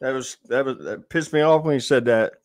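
A man's voice: mid-range voice, brisk. A man chatting casually and bluntly, swearing and often repeating his words. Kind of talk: casual chat.

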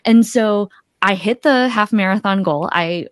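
A woman's voice: medium pitch, a little slow, dry recording, studio quality. A middle-aged woman talking casually as a podcast guest, telling her experiences and voicing her own thoughts. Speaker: podcast guest, accountability coach. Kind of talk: casual conversational interview. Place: small home room, indoors, video call. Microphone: close desktop podcast microphone.

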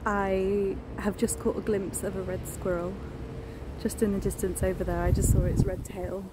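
A woman's voice: medium pitch, little pitch movement, normal speed. A woman in her thirties chatting enthusiastically while filming a walk outdoors, talking into a phone held at arm's length. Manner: enthusiastic, chatty, many fillers. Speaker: nature vlogger. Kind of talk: casual walking vlog. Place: outdoors in woodland and on a beach. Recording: outdoor phone recording with noise. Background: distant planes and outdoor ambience.

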